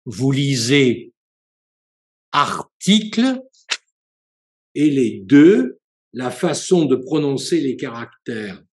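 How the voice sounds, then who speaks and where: Prof guy giving a seminar talk, mid pitch, pauses and restarts a lot, roomy echo. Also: a little mellow. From France. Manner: measured and explanatory, with hesitations and self-corrections. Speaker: university professor and psychoanalyst giving a seminar. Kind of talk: spoken seminar lecture.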